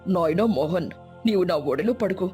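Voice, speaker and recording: A woman voicing a gentle, kindly old man in a moral storybook tale. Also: on the low side, animated, normal speed, faint music behind, natural voice. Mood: tender.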